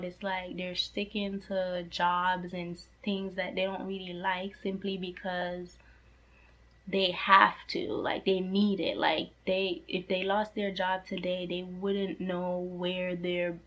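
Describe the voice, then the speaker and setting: A young woman in her twenties speaks straight to camera in a relaxed, chatty vlog, her voice a touch hoarse, as if she is starting to lose it. She sits in a slightly low register and keeps the tone earnest, peppering her sentences with "like" and "you know". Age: 20s. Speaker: personal finance vlogger. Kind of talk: casual talk to camera.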